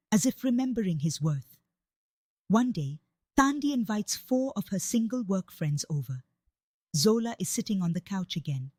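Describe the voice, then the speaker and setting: A woman's voice: mid-range voice, darkish tone, dry recording. Woman narrating a dramatic story, dry close sound.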